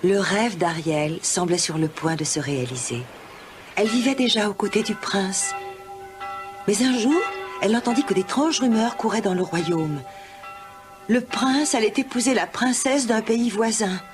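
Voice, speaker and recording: A woman's voice: medium pitch, expressive, normal speed. A woman narrating a children's fairy tale from a script, as a storyteller. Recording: music behind.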